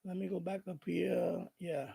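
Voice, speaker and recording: A man's voice: normal speed, creaky, slightly rough. A man in his fifties with a heavy Bronx accent, chatting animatedly on his radio hobby live stream. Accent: Bronx New York. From born in Puerto Rico, raised in the Bronx. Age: 50s. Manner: animated, high intensity, worked up. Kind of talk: casual live stream chat.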